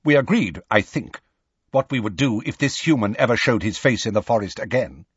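A man's voice: on the low side, lively intonation, a little fast, slightly rough, dry recording, lo-fi sound. A man voicing a hostile male centaur in a storybook, formal and scornful with a nasty, contemptuous inflection.

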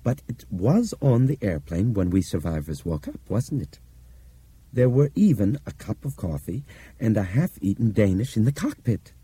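A man voicing a shrewd explainer in an audiobook, speaking with deliberate, theatrical emphasis and authority. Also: fairly low pitch, big pitch swings, dark tone, husky, no echo, exaggerated voice. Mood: pleased, measured.

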